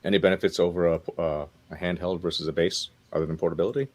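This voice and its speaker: A man's voice: sits low, fairly even intonation, quick pace, darkish tone. A man in casual chat, putting in short questions and remarks as a panelist. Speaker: podcast panelist asking questions.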